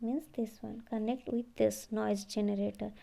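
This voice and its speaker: A woman giving an explanatory slide lecture, halting and repeating phrases as she goes. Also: mid-range voice, level tone, gravelly, dark tone. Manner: halting, repeats phrases, often says okay.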